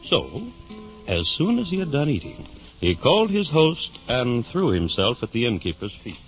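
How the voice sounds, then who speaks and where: A man narrating a literary tale in a measured, formal 1950s radio storytelling manner. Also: mid-range voice, very animated, soft background music. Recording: muffled 1950 radio recording.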